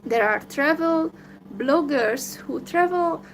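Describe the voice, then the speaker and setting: A woman with a Hungarian accent and a slightly high voice speaks alone to her viewers. Her talk is downcast and hesitant, full of fillers. A steady hum runs behind her voice throughout. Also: takes it slowly, rough recording. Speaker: vlogger. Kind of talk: solo livestream monologue.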